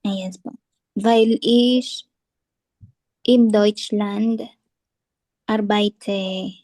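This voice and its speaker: Give me a halting woman student. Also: medium pitch, steady tone, mellow, no room sound. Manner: halting, hesitant beginner, many restarts.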